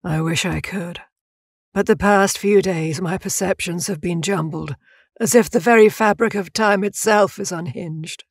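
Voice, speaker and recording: An actress playing an old blind seer in an audio drama, grave, cryptic and troubled. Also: female voice, on the low side, measured pace, creaky, no room sound, clean studio sound. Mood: troubled, uncertain. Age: older.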